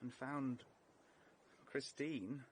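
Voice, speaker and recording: An actor playing an earnest, flustered English gentleman, agitated and indignant, in a vintage British radio drama. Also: mid-range voice, steady tone, drawn-out words, slight background noise. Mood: subdued, defensive.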